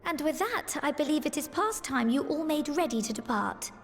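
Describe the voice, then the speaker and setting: Bubbly, high-voiced young schemer. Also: female voice, moderate pace, in a large hall, soft background music. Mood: brisk. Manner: animated, gleefully boastful. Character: bubbly, enterprising young woman, guild treasurer and artisan. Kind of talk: video game cutscene voice acting.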